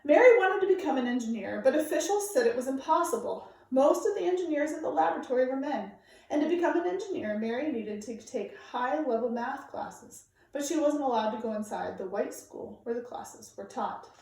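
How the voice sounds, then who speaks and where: A woman reading aloud to children like a teacher, with clear, deliberate, emphatic phrasing. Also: high-pitched, animated, dark tone. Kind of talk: read-aloud storytelling for children, informal introduction. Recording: very echoey room, distant microphone.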